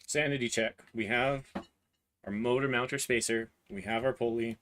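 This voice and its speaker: A hoarse man in his thirties livestreaming a printer build, talking haltingly as he searches for words and clears his throat. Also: medium pitch, fairly even intonation, a bit bright, a little hiss or hum. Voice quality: voice cutting out, clears throat often. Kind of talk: live build stream, casual talk while working.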